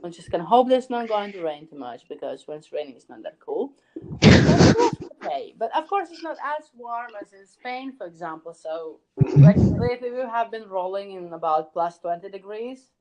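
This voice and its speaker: A woman guest talking casually on an online call, relaxed and filling her talk with "like". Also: medium pitch, moderate pace, low-quality recording. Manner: relaxed, uses "like" a lot, laughs easily. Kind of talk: casual interview chat.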